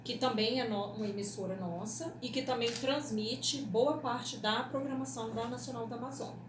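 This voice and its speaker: A woman's voice: a little high, normal speed. A Brazilian woman explaining things in an interview as a radio producer, often checking in with short tag questions. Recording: echoey room with clear background noise.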